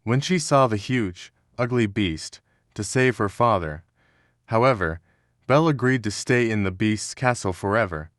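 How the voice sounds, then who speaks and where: A man's voice: on the low side, animated, normal speed, fairly bright tone, dry recording, clean studio sound. A man reading scripted narration as the narrator of a children's storybook.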